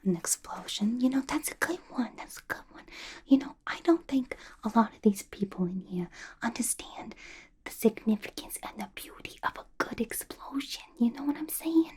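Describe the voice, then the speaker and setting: A woman voicing a scatterbrained, gossipy, clingy young female villain in a breathy, intimate ASMR whisper, chatty and playful with slangy grammar. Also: on the high side, animated, moderate pace, darkish tone. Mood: coaxing, friendly. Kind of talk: ASMR roleplay, whispered to the listener.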